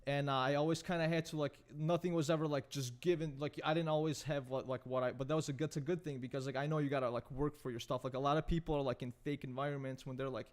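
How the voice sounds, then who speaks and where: A man's voice: fairly high pitch, steady tone. A man in his late twenties, from Serbia, talking casually as a podcast guest, rambling with lots of "like" and "dude.". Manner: rapid rambling with constant fillers like "like" and "dude". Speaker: podcast guest, photographer and content creator.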